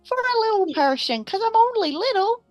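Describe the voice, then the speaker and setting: A woman's voice: very high voice, very animated, normal speed, no echo, dull audio, soft background music. A woman playing a tabletop roleplaying game, chatting casually and thinking aloud hesitantly.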